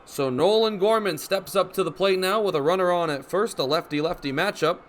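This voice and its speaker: A young man calling baseball play-by-play for radio in crisp, descriptive phrases, as live sports commentary. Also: high-pitched, fairly quick. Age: young adult. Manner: crisp, descriptive phrases with excited calls on big plays. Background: ballpark ambience.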